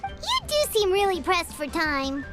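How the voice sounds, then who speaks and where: A woman's voice: very high voice, huge pitch swings, unhurried, full character voice. A woman voicing a tiny, bubbly female fairy-like companion in a video game, who talks about herself in the third person. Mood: chirpy. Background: game music.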